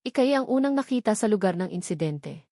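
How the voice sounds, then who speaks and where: A woman's voice: mid-range voice, animated, mellow, no room sound, clean studio sound. A woman playing a smug, scheming male politician who taunts with false sweetness in an audio drama. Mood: smug, threatening. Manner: slow, honeyed taunting.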